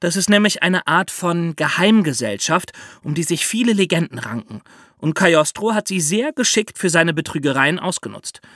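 A man, co-hosting a podcast in a lively, explanatory way. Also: on the high side, moderate pace, dry recording, studio quality. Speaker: podcast co-host.

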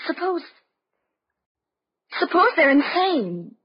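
An actress playing an anxious, perceptive young wife in a 1950s radio drama, with earnest, fretful delivery. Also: female voice, extremely high pitch, very animated, slow pace, heavily acted. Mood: frightened. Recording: dull, noisy old radio recording.

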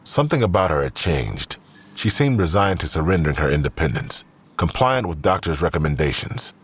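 A man narrates an audio drama from a script. His voice is deep and extremely raspy, yet it moves with lively, expressive intonation. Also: fairly quick.

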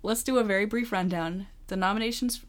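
A young woman with a calm, soothing voice, chatting casually as a podcast co-host. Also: medium pitch, a little fast. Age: young adult. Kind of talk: casual pop culture podcast chat.

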